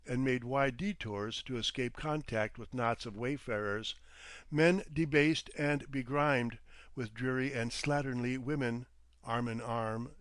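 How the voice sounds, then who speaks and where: A man reading vintage detective fiction aloud as an audiobook narrator, in a measured, literary manner. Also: medium pitch, no echo.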